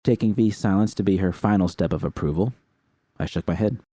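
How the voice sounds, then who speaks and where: A man narrating a first-person fan fiction story in a steady, even way. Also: deep voice, brisk, dry recording, studio quality. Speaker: audiobook reader.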